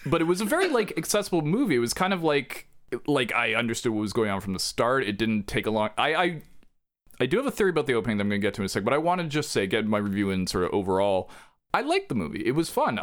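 A man's voice: medium pitch, a bit bright. A man co-hosting a podcast, chatting casually and agreeably, with casual swearing. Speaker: podcast co-host. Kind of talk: casual chat.

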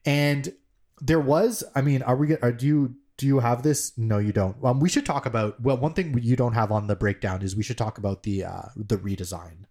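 A man's voice: mid-range voice, fairly quick, a little mellow. Man, podcast co-host, casual chat, mid-range voice, rambling, filler-heavy.

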